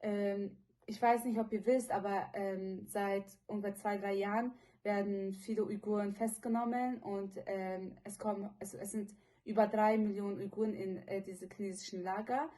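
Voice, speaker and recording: A young woman, about eighteen, gives a personal testimony in her own unscripted words. Her voice is mellow and sits at a medium pitch for a woman. She speaks earnestly and informally, often halting to find the next phrase. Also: steady tone. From from China, living in Germany since childhood. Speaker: witness giving testimony about a detained relative.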